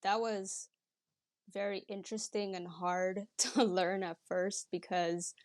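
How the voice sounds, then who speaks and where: A woman's voice: mid-range voice, steady tone, slow, darkish tone, no room sound, clean studio sound. A young woman from the Netherlands, chatting casually and reflectively as a podcast guest. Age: young adult. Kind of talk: casual reflective interview chat.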